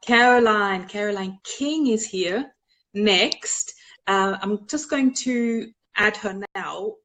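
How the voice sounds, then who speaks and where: A woman's voice: medium pitch, slow, a bit bright, a little hiss or hum. A woman with an Austrian accent hosting a live online show, chatting casually with many fillers.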